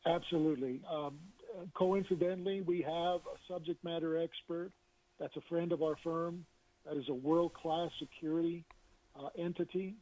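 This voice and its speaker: A man's voice: fairly high pitch, steady tone, moderate pace, dark tone, poor audio. A man being interviewed as a business consultant, speaking confidently and a bit salesy, with hesitant fillers. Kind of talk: interview answers.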